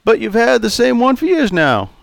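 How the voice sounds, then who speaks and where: A man's voice: very high voice, huge pitch swings, moderate pace, creaky, no room sound, studio quality, voice pushed up, heavily acted. A man voicing a large, smug older male customer who teases in a folksy way. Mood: teasing. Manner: teasing, folksy.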